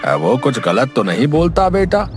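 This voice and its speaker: A man playing a fatherly village headman in a cartoon story, measured and admonishing. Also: mid-range voice, sing-song, faint music behind, close to an everyday voice. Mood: gently admonishing. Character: fatherly village headman giving moral advice. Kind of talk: cartoon moral story acting.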